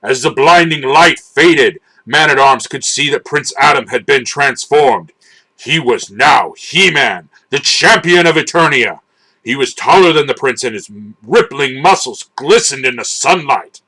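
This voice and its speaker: A man giving a dramatic, theatrical and deliberate scripted narration of a children's storybook. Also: mid-range voice, animated, pushed and bright, rough recording.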